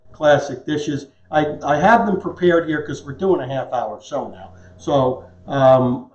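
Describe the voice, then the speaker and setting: A man in his fifties hosting a live cooking demonstration in a casual, conversational, joking way. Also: on the high side. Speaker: chef and cooking show host. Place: home kitchen, indoors. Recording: echoey kitchen, dull muffled sound.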